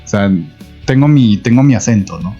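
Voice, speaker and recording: A man's voice: on the low side, lively intonation, moderate pace, dark tone, breathy edge, highs cut off, faint music behind. A man from Peru with a Peruvian accent, co-hosting a casual podcast chat. He talks over the others and keeps chiming in to agree. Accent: Peruvian Spanish. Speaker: podcast co-host.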